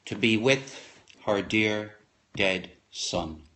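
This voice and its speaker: A man reciting poetry aloud in a solemn, expressive way, lingering over short dramatic phrases. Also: fairly low pitch, very slow. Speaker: poetry reader, live stream host.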